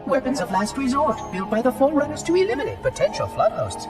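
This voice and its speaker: A man's voice: extremely high pitch, big pitch swings, fast, recorded from a distance, music in the background. A man voicing a fussy ancient robot caretaker in a video game, prim, precise and blithely detached, with robotic processing. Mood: blithe exposition. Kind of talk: video game cutscene acting.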